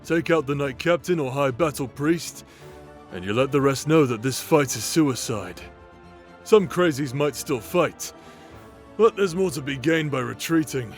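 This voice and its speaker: A man's voice: medium pitch, expressive, measured pace. A man playing a swaggering, foul-mouthed demonic ex-paladin in an audio roleplay drama, taunting and vengeful. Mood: dry, smug. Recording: music under the voice.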